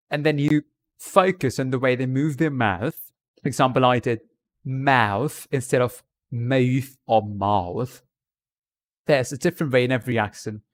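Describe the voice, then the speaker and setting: A man's voice: mid-range voice, dry recording. A man doing a put-on British accent, chatting on a live stream from India and giving rambling, jokey tips.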